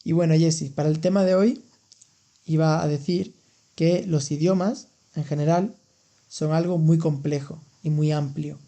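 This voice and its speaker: Mellow-voiced man explaining. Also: fairly high pitch, fairly even intonation, normal speed. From Andalusia, Spain. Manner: explains patiently with examples, laughs easily. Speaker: podcast host and language teacher. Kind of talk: casual educational chat.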